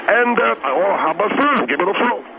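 A man voicing an excitable, chatty middle-aged businessman who giggles eagerly. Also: sits high, a little fast, background noise, pitched above the performer's own voice.

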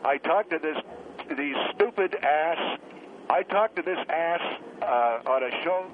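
A man's voice: a little high, lively intonation, a little slow. A man acts out an irascible male scientist calling a comedy radio show. He harangues and belittles in a condescending way. The line is thin and telephone-like, with faint noise. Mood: exasperated ranting. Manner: haranguing, insulting, goading. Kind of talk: comic radio phone-in. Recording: tinny, noisy phone line.

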